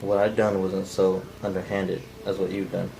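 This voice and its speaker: Male, low gravelly voice, curt surly sceptic, read by a man at a table read, noisy recording. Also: completely flat delivery, normal speed, mellow. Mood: sceptical, surly. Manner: curt, colloquial, challenging. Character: gruff, sceptical, uneducated male killer. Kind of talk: cold table read of a script.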